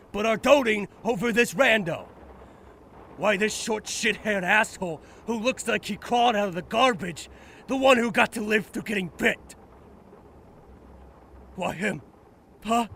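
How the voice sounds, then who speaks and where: A man acts out an abrasive young male survivor in an apocalypse drama, bitter with grief. His voice sits high, and he bites out sarcastic, foul-mouthed challenges at a deliberate pace. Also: pushed and bright, a little hiss or hum, full character voice. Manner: foul-mouthed, confrontational, biting. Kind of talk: dramatic audio drama acting.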